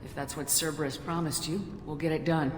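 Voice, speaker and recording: A woman acts as a no-nonsense female space commander in a video game. Her voice is notably low and direct. The sound is reverberant, with some noise behind it. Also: steady tone, fairly quick, lightly acted. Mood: steady, resolute. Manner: direct, businesslike. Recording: echoey, reverberant, clear background noise.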